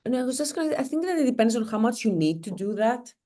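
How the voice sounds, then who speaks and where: A woman from Greece with a Greek accent in a casual group discussion over a video call, thoughtful, with halting starts to her sentences. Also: fairly high pitch, big pitch swings, mellow.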